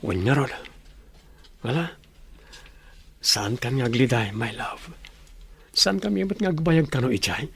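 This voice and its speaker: An actor playing a devoted, affectionate young husband, sincere and reassuring, in a radio soap opera. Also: male voice, medium pitch, animated, measured pace, creaky, pauses often, fairly bright tone, husky, full character voice.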